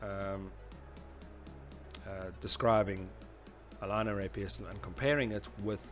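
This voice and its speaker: A man with a fairly deep voice talks through an instructional presentation. He explains in an informal, slightly halting lecture style. Faint music sits behind his voice. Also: steady tone. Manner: hesitant, explanatory, often saying "you know". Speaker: instructor presenting a tutorial series.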